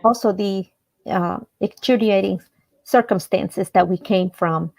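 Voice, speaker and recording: A woman's voice: mid-range voice, unhurried, vocal fry, a little mellow, no room sound. A woman from El Salvador, a native Spanish speaker, telling her personal story as an interview guest. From El Salvador, then Maryland, United States from age ten.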